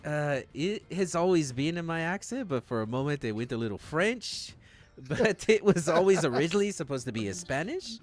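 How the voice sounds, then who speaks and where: A man runs a tabletop game with lively, swinging intonation. He narrates off the cuff in an informal, joking way and slips into slangy chat. Music plays faintly behind him. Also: on the high side, normal speed. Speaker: game master of a streamed tabletop game.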